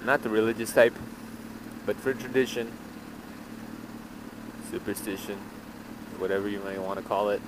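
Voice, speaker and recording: A man talking casually to viewers as a motorcycle vlogger, speaking in short phrases with long pauses while riding. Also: on the low side, fairly even intonation. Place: outdoors on a motorcycle in city traffic. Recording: traffic, engine and wind noise.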